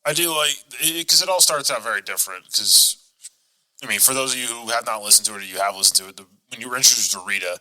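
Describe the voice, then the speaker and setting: A man's voice: medium pitch, fast, bright, forceful tone, rough recording. A man chatting casually as a podcast co-host, like a fan talking shop.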